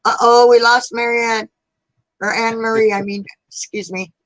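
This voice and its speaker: A woman joins a live video chat as a guest. She sounds friendly and helpful and asks a lot of questions. Her voice is fairly high, dry and close. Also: level tone, normal speed, fairly bright tone, no echo, low-quality recording. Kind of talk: casual chat. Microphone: video call.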